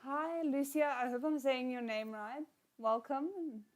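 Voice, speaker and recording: Higher-voiced woman chatting on a livestream. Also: a little slow. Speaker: host of a live watchalong reaction stream. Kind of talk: casual livestream chat with viewers.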